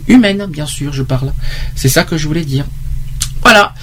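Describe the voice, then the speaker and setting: A man hosting an informal radio discussion, talking haltingly and repeating himself for emphasis. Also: a little high, animated, sharp tone. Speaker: radio host. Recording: clear background noise.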